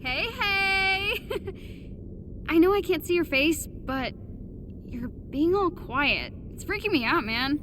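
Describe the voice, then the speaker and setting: Young American game heroine, upbeat, frank, informal, expressive pitch, noisy backdrop. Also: female voice, slow, long pauses, full character voice. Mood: friendly, concerned. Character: upbeat, frank young female rookie superhero. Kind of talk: naturalistic video game voice acting.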